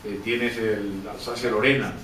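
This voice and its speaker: A man's voice: on the low side, fairly even intonation, unhurried, fairly bright tone. A man answering questions at a book presentation, emphatic and repeating key phrases. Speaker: author and professor speaking at a book presentation. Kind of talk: public discussion, answering audience questions. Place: event room, distant mic. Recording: roomy, distant mic, faint noise.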